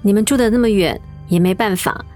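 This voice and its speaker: A woman voicing a caring young niece in a radio drama, gentle and polite in her speech. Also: mid-range voice, brisk, faint music behind, close to an everyday voice. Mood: concerned.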